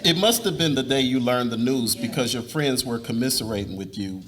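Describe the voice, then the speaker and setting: A man playing an earnest, devout young suitor in a live dramatic reading, speaking in a measured, persuasive way. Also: mid-range voice, a crowd in the background, natural voice. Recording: noisy live room recording.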